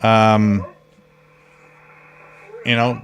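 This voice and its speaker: A man's voice: fairly low pitch, flat delivery, very slow, clear, resonant tone. A man co-hosting a live radio stream, chatting casually and rambling through stories with lots of fillers and restarts, laughing at himself. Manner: laughs at his own stories.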